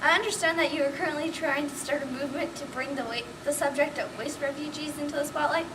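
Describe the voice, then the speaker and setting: Through loud noise, a woman plays a puppet show interviewer. She sounds high-pitched and far from the mic, asking her scripted questions with polite curiosity. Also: steady tone, fast talker, lightly acted. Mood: courteous. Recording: very noisy, distant amateur recording.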